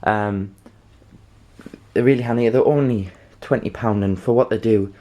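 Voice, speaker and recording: A man presenting an informal how-to demonstration, halting and rambling, often checking in with the listener. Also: fairly low pitch, steady tone, warm tone. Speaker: video tutorial presenter.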